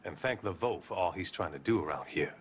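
A weary, devout preacher from a video game drama. His deep voice swings freely in pitch, blunt and solemn by turns. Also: animated, brisk, a little hiss or hum. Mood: solemn, grateful. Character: weary small-town preacher, plainspoken but devout. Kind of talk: video game cutscene acting.

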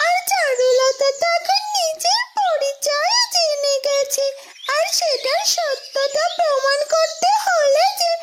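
A woman's voice: extremely high pitch, pitched above the performer's own voice. A woman voicing a small, anxious female golden bird in a fairy-tale cartoon, pleading plaintively and urgently.

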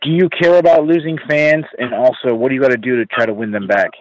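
A man's voice: medium pitch, fast, dry recording. A man working as a reporter, asking interview questions over a conference line. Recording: dull telephone conference line.

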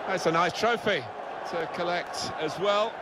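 A male TV football commentator in a video game, with a fairly high voice. He gives play-by-play over very loud stadium noise. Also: measured pace, over a noisy crowd. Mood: measured, approving. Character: excited veteran male television football match commentator. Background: stadium ambience in a video game.

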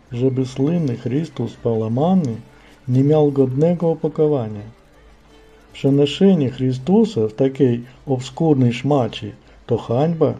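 A man playing a pompous rich gentleman in a cartoon, with a deliberate delivery. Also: medium pitch, slightly rough. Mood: indignant. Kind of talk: amateur stage-play acting in a cartoon.

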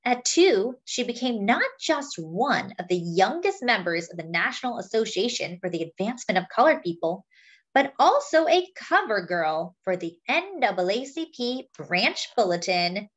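A woman reading a children's picture book aloud as a story-time host, with deliberate phrasing for young listeners. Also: medium pitch, very animated, a bit bright.

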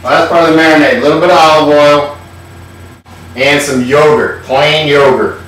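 A man's voice: a little high, steady tone, normal speed, fairly bright tone, very echoey, distant mic, low-quality recording. A man hosting a comedic home cooking show, talking in a sardonic, irreverent way and swearing casually, in a home kitchen. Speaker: host.

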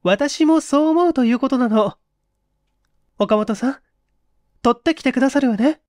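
A woman plays a young lady from a vintage mystery drama. Her voice is high and feminine, with refined, composed manners and a pleading politeness. The recording is close and dry. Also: moderate pace, a little mellow, no room sound, lightly acted. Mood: grateful.

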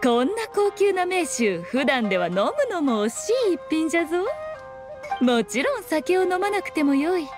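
High, lilting, haughty fox spirit. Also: female voice, sing-song, normal speed, music in the background. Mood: inviting. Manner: archaic noble speech, teasing, imperious. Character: sly, haughty fox-spirit shrine maiden, elegant and teasing. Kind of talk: Japanese anime game voice acting.

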